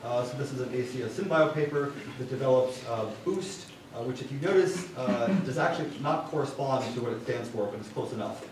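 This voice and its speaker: A man's voice: mid-range voice, fairly quick, hardly any pauses. Young guy presenting slides in a lecture hall, casual and wry, super echoey, far from mic, noisy. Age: young adult. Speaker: student presenter at a university science club. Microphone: far camera. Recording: distant room mic, very echoey, clear noise.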